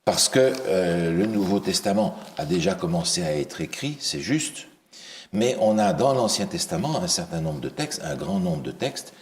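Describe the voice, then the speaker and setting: A man leads a Bible lesson with a very low voice that still rises and falls a great deal. He teaches by questioning his listeners and halts often on fillers and restarts. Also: big pitch swings, normal speed, darkish tone, slight background noise. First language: not English. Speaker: preacher teaching a Bible study.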